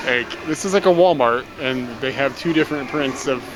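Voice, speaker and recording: A man's voice: mid-range voice, fairly quick. Male travel vlogger, rambling step-by-step narration, noisy on-location camera audio. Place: public places while travelling.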